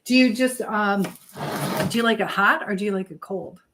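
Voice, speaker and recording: A woman's voice: mid-range voice, normal speed, background noise. Rambling woman chatting on a live stream. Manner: rambling, trailing off mid-thought. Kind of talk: casual live chat, reading out viewer comments.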